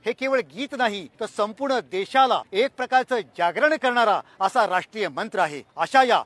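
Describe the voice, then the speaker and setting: A man's voice: high-pitched, animated, normal speed, fairly bright tone, no echo. A man who heads a history society, giving a formal, explanatory statement for a news report. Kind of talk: interview statement.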